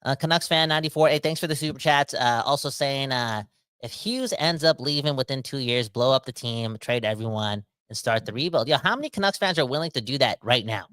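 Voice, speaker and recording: Bright, rambling man in his thirties. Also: medium pitch, a little fast, smooth, no echo. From Canada. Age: 30s. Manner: animated, rambling, slangy, talks straight to the audience. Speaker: podcast host reading live chat comments. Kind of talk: casual livestream rant.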